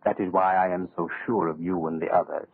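An actor playing a suave, power-hungry schemer in a 1930s radio horror drama, speaking with pompous, quietly menacing oratory. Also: male voice, sits low, steady tone, a little fast, husky, no room sound. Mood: smug menace. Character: suave, power-hungry male dictator-in-waiting, grandiose schemer. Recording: muffled old 1930s radio recording.

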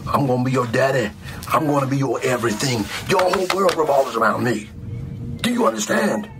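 A middle-aged American man playing a stern, mocking army drill sergeant, barking intimidating, deliberate lines in a comedic roleplay. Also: medium pitch, lively intonation, loud music behind the voice, close to an everyday voice. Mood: stern, domineering. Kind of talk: comedic military ASMR roleplay.

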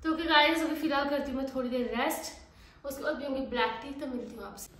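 A woman's voice: high voice, quick pace, fairly bright tone, reverberant. A woman in her thirties vlogging casually from home while she has a cold, chatty and complaining, recorded on a phone. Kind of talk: casual family vlog chat. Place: home kitchen and bedroom. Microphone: phone camera.

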